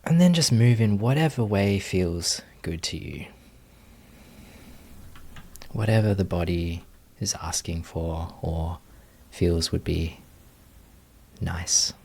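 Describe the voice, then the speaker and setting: A man leading a guided meditation as a teacher, speaking gently and invitingly with long, unhurried pauses. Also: low voice, gravelly.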